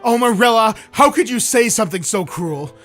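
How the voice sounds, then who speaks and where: A man's voice: extremely high pitch, expressive, normal speed, a bit bright, soft background music, voice pushed up. A man voicing a tearful, dramatic older woman neighbor in a read-aloud story. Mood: scandalized.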